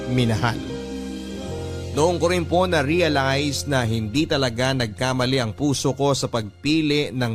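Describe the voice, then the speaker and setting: A man's voice: medium pitch, lightly acted. A man playing a devoted husband and father, earnestly narrating his married life in a sentimental radio love story. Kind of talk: first-person dramatized radio story narration in Tagalog. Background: music bed.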